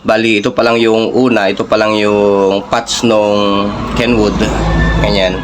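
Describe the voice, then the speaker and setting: A Filipino man with a fairly low voice walks viewers through a hands-on tech demonstration. His delivery is informal and explanatory, with halting phrases. Faint background noise sits behind his voice. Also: level tone. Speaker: vlogger, radio hobbyist.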